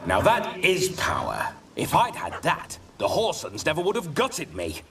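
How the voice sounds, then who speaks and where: A man's voice: on the high side, wildly swooping pitch, unhurried, fairly bright tone, exaggerated voice. An actor playing a flamboyant, thrill-seeking noble ghost who speaks theatrically and sulks when his fun is spoiled. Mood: petulant. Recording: game audio, distant, hissy.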